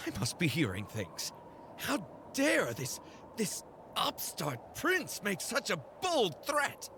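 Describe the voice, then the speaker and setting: A stern, possessive royal fiancé. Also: male voice, high-pitched, huge pitch swings, takes it slowly, noisy background, exaggerated voice. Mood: commanding. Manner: formal, stern and commanding. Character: proud, possessive royal fiancé, adult man. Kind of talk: game cutscene voice acting.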